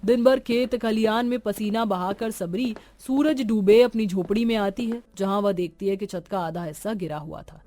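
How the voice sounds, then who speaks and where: A woman's voice: mid-range voice, normal speed, hardly any pauses, warm tone. A woman reading a scripted story as a narrator, in a dramatic storytelling style. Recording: music behind the voice. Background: background music.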